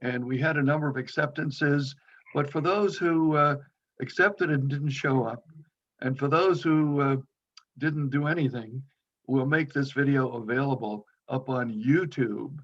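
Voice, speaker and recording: A man hosts an online meeting and steers the guests through their introductions. He speaks haltingly, with many fillers, at a middling pitch. His audio comes through dull and low-fidelity. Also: a bit bright. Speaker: meeting host. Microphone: video call.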